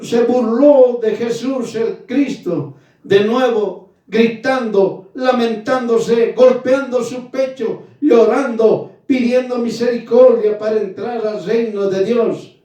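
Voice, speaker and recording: A man's voice: high-pitched, dark tone. A man preaching a fervent evangelical sermon, stern and admonishing, in short, emphatic phrases with repeated exhortations. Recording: very echoey room, distant from mic.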